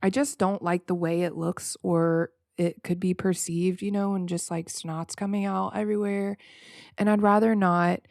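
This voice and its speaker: Female solo podcast host: gravelly fry, slightly nasal, reflective freestyle rambling, very dry close sound. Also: fairly low pitch, level tone, a little mellow, no room sound. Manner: informal, reflective, halting and rambling with repeated words.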